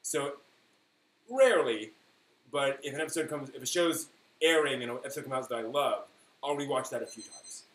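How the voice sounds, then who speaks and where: A man's voice: medium pitch, a bit bright. Man chatting casually in an echoey room. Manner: halting, thinking aloud, emphatic on key words. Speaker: live stream host. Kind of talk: casual rambling chat, answering viewers. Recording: echoey room with faint noise.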